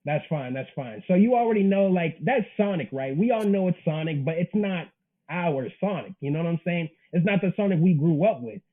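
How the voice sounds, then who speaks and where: Higher-voiced young man on a tinny line. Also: expressive, a little fast. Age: early 20s. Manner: earnest, emphatic, slangy. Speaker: interview guest, manga creator. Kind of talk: casual chat. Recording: tinny phone-like call audio.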